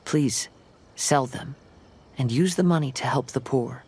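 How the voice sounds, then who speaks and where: An actor playing a gentle, composed young wanderer of noble birth in a video game, calm, courteous and measured. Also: male voice, medium pitch, slight background noise, natural voice. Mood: earnest.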